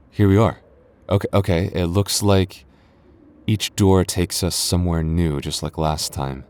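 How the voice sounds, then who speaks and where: A man playing a deep-voiced, teasing goth boyfriend. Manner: soft, intimate, playfully teasing. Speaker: voice actor. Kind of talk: boyfriend ASMR roleplay.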